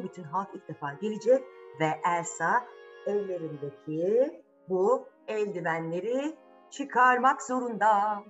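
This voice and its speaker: A woman tells a children's fairy tale in a very animated, dramatic way. She chats informally to young listeners and keeps asking them questions, with music playing softly behind her. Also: medium pitch, muffled recording. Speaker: storyteller.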